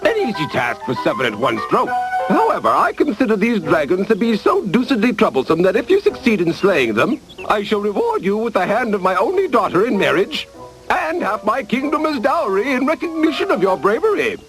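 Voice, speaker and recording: A man playing a pompous, easily flustered, scheming king in an animated fairy tale. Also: high-pitched, brisk, low-quality recording. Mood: scheming, grand. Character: blustering, scheming, nervous old king. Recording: music behind the voice.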